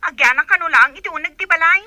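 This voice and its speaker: A naive young Ilocano-accented woman full of grievance, acted by a woman in amateur audio drama. Her voice is extremely high and sharp, recorded very dry. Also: quick pace, no room sound, heavily acted. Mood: distressed. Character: naive, aggrieved young woman, stranded and wanting to flee.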